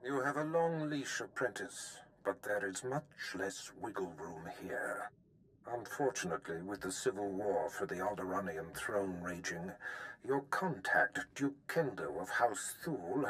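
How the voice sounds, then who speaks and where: A man's voice: lowish voice, animated, measured pace, fairly bright tone, slight background noise, low-quality recording. A man playing a cruel, scheming Sith master in a video game, speaking in a condescending, theatrical manner. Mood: sly, commanding.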